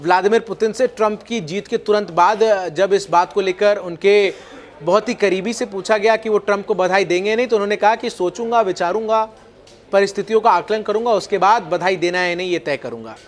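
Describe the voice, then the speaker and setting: A man with a notably high voice delivers news commentary. He explains events as a presenter would, stressing his points emphatically and framing them rhetorically. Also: fairly quick.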